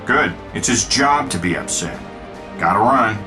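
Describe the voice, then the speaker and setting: A wisecracking billionaire inventor in a game cutscene. His voice is low and swings freely in pitch, with a flippant, sardonic edge. Music plays behind him. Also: lively intonation, a little slow. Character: cocky wisecracking billionaire inventor in armored suit. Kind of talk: video game cutscene acting.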